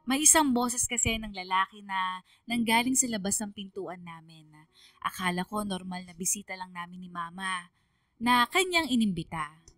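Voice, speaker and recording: A woman's voice: medium pitch, animated, normal speed, a bit bright, no echo, lightly acted. An actress playing a hurt young daughter who narrates her own story, emotive and confiding, with a Filipino accent. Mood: sorrowful. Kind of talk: dramatic first-person radio narration.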